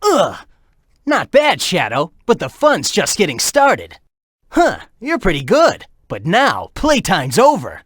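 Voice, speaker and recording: A brash young male hedgehog hero from a video game, with an animated, swooping delivery. He sounds upbeat, playful and sure of himself, urgent when he calls out, in a dry, close recording. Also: mid-range voice, takes it slowly, breathy edge, no echo, exaggerated voice. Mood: determined.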